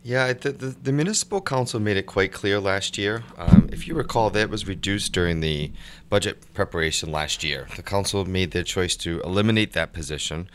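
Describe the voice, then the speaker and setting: A man, a city mayor, answering questions as a guest on a local radio show in a measured, official way. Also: low voice, animated, slight background noise.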